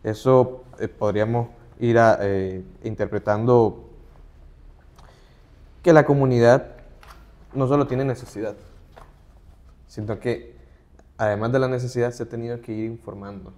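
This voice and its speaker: A man's voice: mid-range voice, measured pace, pauses often, a little mellow. A middle-aged man, a human rights advocate, earnestly explaining points as a guest in an interview. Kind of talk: conversational interview.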